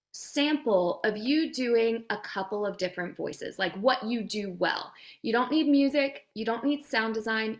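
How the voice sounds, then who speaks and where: A woman speaking as a guest coach in an online Q&A, giving encouraging advice in an informal, validating, conversational way. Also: a little high. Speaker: guest coach, voice demo producer.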